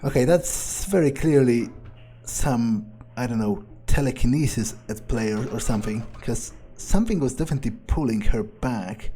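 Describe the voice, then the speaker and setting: A man's voice: mid-range voice, expressive, normal speed, warm tone, soft background music. A man doing improvised in-character commentary on a game, thinking aloud in a nonchalant, hesitant way. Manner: nonchalant, musing, hesitant phrasing. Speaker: gamer doing an in-character playthrough.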